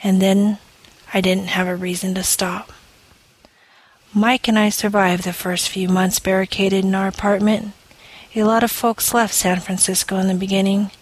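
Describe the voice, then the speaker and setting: A woman reading scripted audio fiction in a measured, deliberate manner, phrase by phrase. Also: mid-range voice, flat delivery, poor audio. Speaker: story reader.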